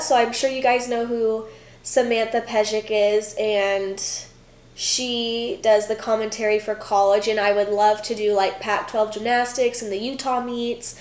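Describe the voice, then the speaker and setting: A young woman in her twenties chats through viewer questions in an easygoing vlog, her voice a little high and stuffy from a cold. She fills her talk with "like" and "um." The room around her is noticeably echoey. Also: darkish tone. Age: 20s. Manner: chatty, lots of "like" and "um". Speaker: vlogger, former college gymnast. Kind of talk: casual Q&A vlog.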